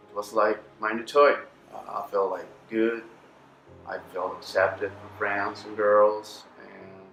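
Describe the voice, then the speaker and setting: A man in his twenties from Costa Rica, with a Costa Rican Spanish accent, giving a halting personal testimony with many fillers and slips into Spanish. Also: fairly low pitch, fairly bright tone, reverberant, poor audio. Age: 20s. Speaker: testimony speaker.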